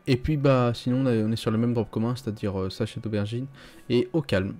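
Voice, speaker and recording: Casual young male gaming host, warm low-key delivery, chatty. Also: brisk. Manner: relaxed, chatty, with fillers. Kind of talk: casual gameplay commentary. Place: home gaming setup.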